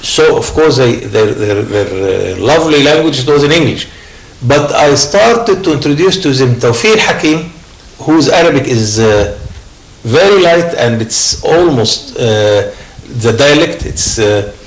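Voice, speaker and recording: Man, middle-aged, panel guest, measured, emphatic, mid pitch, echoey studio. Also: lively intonation. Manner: measured, emphatic, with frequent restarts. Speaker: guest ambassador.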